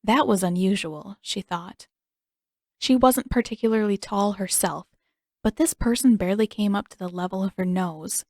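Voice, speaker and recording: A woman narrates a story in a scripted audiobook style. Her pitch is average for a woman, and the recording is dry and close. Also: normal speed, a little mellow, dry recording.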